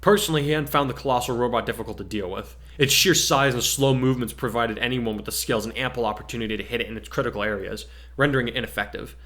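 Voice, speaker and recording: A man's voice: medium pitch, fast talker, hardly any pauses, a bit bright. A man reading scripted fiction aloud as a narrator, in a dramatic story-reading style.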